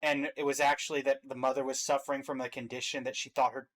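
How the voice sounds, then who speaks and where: A man's voice: medium pitch, little pitch movement, fast talker. A man co-hosting a reaction video, chatting casually as he ranks game characters. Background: faint music.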